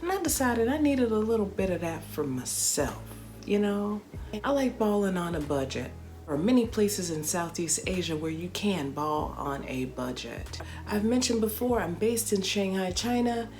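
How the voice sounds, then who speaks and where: A woman's voice: medium pitch, expressive, normal speed, a little mellow, soft background music. A woman from Chicago telling a travel story in a playful, slangy way, with dramatic comic asides. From Chicago, USA. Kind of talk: casual storytelling vlog.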